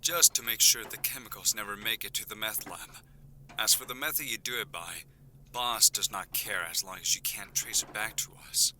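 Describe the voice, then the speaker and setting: A man's voice: lowish voice, moderate pace, pushed and bright, a little hiss or hum. An actor playing a curt, menacing crime syndicate henchman in an audio drama, stern and condescending. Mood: stern, businesslike.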